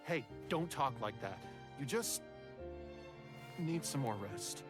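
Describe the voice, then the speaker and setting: An actor playing a devoted, stubborn young scholar in a video game, earnest and emotional. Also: male voice, mid-range voice, animated, takes it slowly, music in the background. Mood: worried, insistent. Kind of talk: dramatic video game voice acting.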